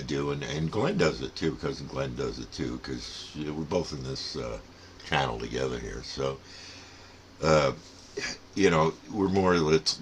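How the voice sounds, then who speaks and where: A man's voice: a bass voice, slight background noise. An older man giving a casual, rambling, folksy explanation as a plain-spoken hobbyist host. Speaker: radio hobbyist and channel host.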